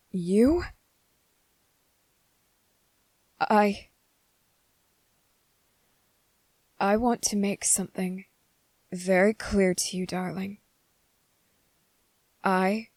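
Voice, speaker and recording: Woman playing a lovesick male vampire, possessive, brooding, slow and deliberate, tender coaxing, close dry sound, ASMR roleplay. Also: mid-range voice, darkish tone, no room sound. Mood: hurt, earnest. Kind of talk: ASMR character roleplay, intimate scripted acting.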